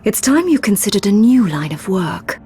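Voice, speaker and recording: A woman's voice: mid-range voice, very animated, moderate pace, warm tone, slight background noise, close to an everyday voice. A woman playing a cool, elegant British spy in a video game, with a poised, sly, understated manner. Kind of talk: dramatic video game acting.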